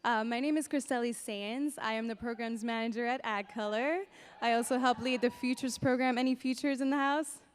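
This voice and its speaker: A woman's voice: a little high, steady tone, fairly quick. A woman host giving an upbeat welcome speech on a conference stage. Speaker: event host. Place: large hall stage. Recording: reverberant hall.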